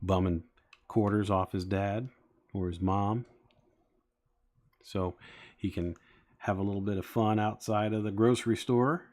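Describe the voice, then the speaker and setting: Forties man, lowish voice, relaxed rambling streamer talking to his chat. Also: a little slow, pauses often. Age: 40s. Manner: rambling, chatting to viewers with many fillers. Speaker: streamer building toy sets. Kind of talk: casual live-stream chat.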